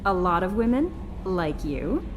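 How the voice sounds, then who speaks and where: A woman's voice: fairly low pitch, sing-song, measured pace, background noise. Flirty woman assistant in a reverberant space. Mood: flirty, friendly. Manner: chatty, coy, eager to help. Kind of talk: dramatic video game dialogue. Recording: long reverb with music behind.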